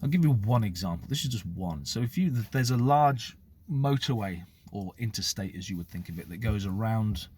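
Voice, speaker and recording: A man's voice: fairly low pitch, animated, darkish tone, a little hiss or hum. British man explaining his view. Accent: British English. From United Kingdom. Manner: measured, explanatory, occasional fillers. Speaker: former police officer giving his view. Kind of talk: direct-to-camera opinion talk.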